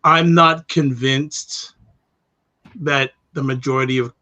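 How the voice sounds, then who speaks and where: Middle-aged host on a video call, sharp bright voice, asks pointed questions. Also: male voice, medium pitch, steady tone, slow, dull audio. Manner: probing, questioning. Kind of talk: interview show. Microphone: webcam on video call.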